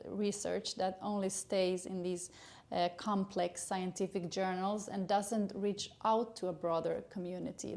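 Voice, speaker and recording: A middle-aged woman from Sweden with a Swedish accent, a gynecologist introducing her educational channel in earnest, halting English with many fillers. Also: medium pitch, a little mellow. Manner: earnest, hesitant with frequent uh fillers.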